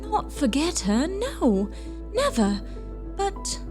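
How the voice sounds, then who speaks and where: A loving, worried Victorian gentlewoman, acted by a woman for an audio drama. Her voice is high and swings expressively as she pleads earnestly, with music playing behind her. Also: huge pitch swings, takes it slowly, heavily acted. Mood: sorrowful, concerned. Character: anxious, loving Victorian sister with premonitions. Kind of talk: period audio drama acting.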